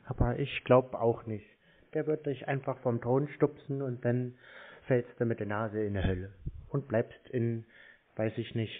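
A man bantering casually on a phone call in a mocking, deadpan way. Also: lowish voice. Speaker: host of a scam-baiting show, prank caller. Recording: narrow tinny phone-line sound.

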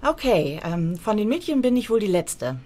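A woman's voice: mid-range voice, sing-song, normal speed, warm tone, a little hiss or hum, natural voice. A woman acting a hurt, freshly dumped young woman in an audio drama, hesitant and confiding.

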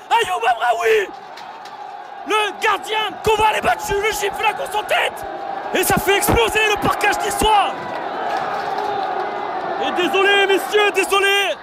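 Guy yelling football play-by-play, real high, huge crowd noise behind. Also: unhurried, gravelly, pauses often. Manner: excited shouting play-by-play. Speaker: match commentator. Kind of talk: live football commentary. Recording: loud stadium crowd behind.

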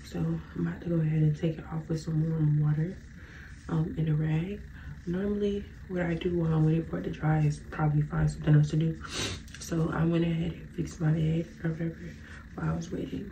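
A woman's voice: low-pitched, mellow, slight background noise, rough recording. A young woman with a stuffy nose, chatting casually to viewers as she gets ready in her bedroom. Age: young adult. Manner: chatty, rambling, addresses viewers as y'all. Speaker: vlogger. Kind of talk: casual get-ready-with-me chat. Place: bedroom at home, indoors.

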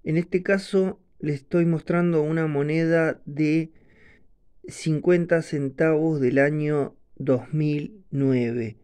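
Clear, mellow Argentine man explaining. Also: a little high, fairly even intonation, slow. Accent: Argentine Spanish. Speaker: coin channel host. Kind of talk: informal explainer narration to camera.

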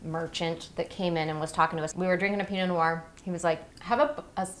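A woman's voice: lowish voice, steady tone, fast talker, a little hiss or hum. A woman in her forties hosting a casual wine tasting, chatty and playful, telling stories while she tastes, recorded indoors in a living room. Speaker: host.

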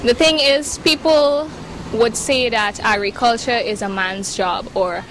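A woman's voice: a little high, unhurried, fairly bright tone, a little hiss or hum, poor audio. A twenty-year-old woman, reporting outdoors into a handheld mic and speaking firmly and earnestly. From Guyana. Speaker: reporter and agronomy student. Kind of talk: news report and interview answers. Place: outdoors by a building.

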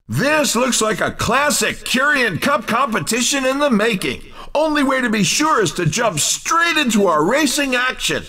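Shrill, manic race announcer. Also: male voice, bright, forceful tone, recorded from a distance, full character voice. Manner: folksy, exuberant showman patter. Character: manic, over-excited male race announcer. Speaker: racing commentator. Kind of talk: hyped video game race commentary.

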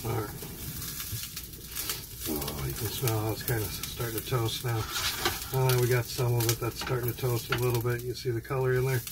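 A man hosting a home cooking show, explaining each step in a relaxed, halting way with many fillers. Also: mid-range voice, heavy background noise. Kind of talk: casual cooking demonstration. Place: home kitchen, indoors.

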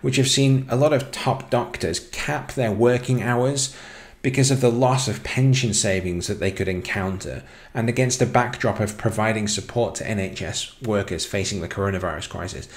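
Low-voiced man presenting a scripted explainer. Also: moderate pace. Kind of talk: scripted explainer narration over slides.

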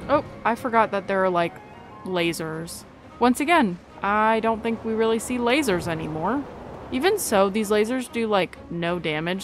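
A young woman giving casual, informal playthrough commentary, chattily thinking aloud as she plays. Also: medium pitch. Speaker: gaming video creator. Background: game music.

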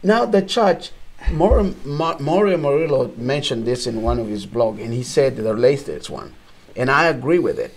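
A man's voice: mid-range voice, lively intonation, normal speed, a crowd in the background. A middle-aged man from Uganda, a missionary guest on a discussion panel, speaking earnestly and growing emphatic. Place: small indoor studio set.

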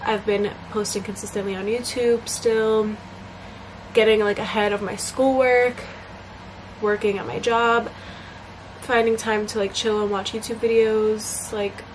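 A woman's voice: medium pitch, flat delivery, unhurried, rough recording. Young female vlogger, chatty and upbeat, on a camera in her bedroom, noticeable background noise. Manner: bubbly, chatty, many fillers. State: congested. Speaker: vlogger and college student. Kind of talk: casual vlog chat to camera. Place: small bedroom indoors. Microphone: camera at arm's length.

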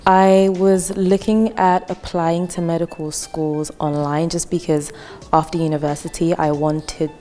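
A woman's voice: fairly low pitch, little pitch movement, measured pace, warm tone. A woman in her twenties, a student speaking casually in a testimonial interview with a lapel mic. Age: 20s. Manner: casual, chatty with fillers. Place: indoor hall with wooden wall. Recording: reverberant hall with light music.

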